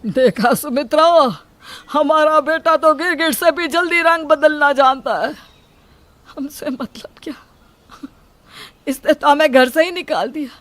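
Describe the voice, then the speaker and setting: A woman's voice: high-pitched, measured pace, pauses often, fairly bright tone, no echo, pitched above the performer's own voice. A woman voicing a cautious elderly father, wounded by his son, with an earnest, admonishing manner for a moral story. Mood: bitter, indignant.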